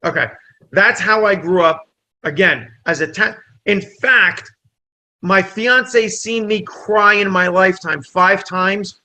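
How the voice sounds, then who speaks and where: A man from New Jersey whose first language is Italian, a comedian telling stories in a casual podcast chat with lively asides and restarts. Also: high voice. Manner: lively anecdote-teller, quick asides and restarts. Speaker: comedian guest.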